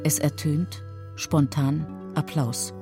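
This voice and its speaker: A woman's voice: low voice, fairly even intonation, takes it slowly, music in the background. A woman giving terse, matter-of-fact scripted narration for a radio drama. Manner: terse, matter-of-fact present-tense delivery.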